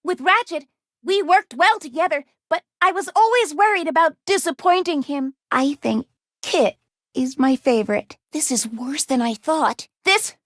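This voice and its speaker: Game role: young female robot, high voice, very dry recording, earnest, self-doubting, formal and precise. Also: expressive, unhurried, a bit bright, no room sound. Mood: polite, curious. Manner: formal, precise, careful diction without contractions. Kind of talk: video game character voice lines.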